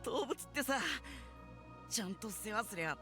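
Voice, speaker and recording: An actor playing a rough-talking, hot-blooded young male animal keeper in a visual novel, with blunt, emotional delivery. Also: medium pitch, expressive, quick pace, lightly acted. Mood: earnest, hurt. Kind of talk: Japanese visual novel voice acting. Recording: music behind the voice.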